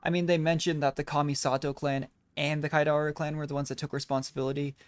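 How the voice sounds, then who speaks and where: A man's voice: fairly high pitch, level tone, fast talker, slight background noise. A man giving casual playthrough commentary as a gaming streamer, musing aloud in chatty asides. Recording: game music behind the voice.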